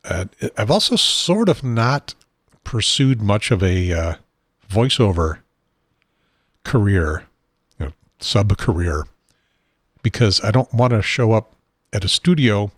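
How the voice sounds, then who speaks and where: Man, low husky voice, rambling, throat clearing, dry close sound. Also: sing-song, slow pace, long pauses, no room sound. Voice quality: husky, unsteady voice. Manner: rambling, self-deprecating, frequent throat clearing. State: has a probe in his nose. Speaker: podcast host. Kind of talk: rambling solo talk show. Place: home studio at a desk.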